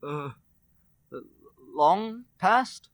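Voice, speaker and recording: A man's voice: sits high, animated, very slow, a bit bright, full character voice. A man playing a frightened, regretful old miser in a radio play, with a hesitant, stammering, theatrical delivery. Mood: fearful, hesitant. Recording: echoey hall, distant mic, music behind.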